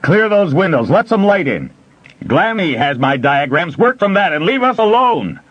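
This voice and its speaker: A man voicing a booming, excitable older master smith. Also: moderate pace, husky, no room sound, highs cut off, heavily acted. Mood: booming, eager. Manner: booming, eager.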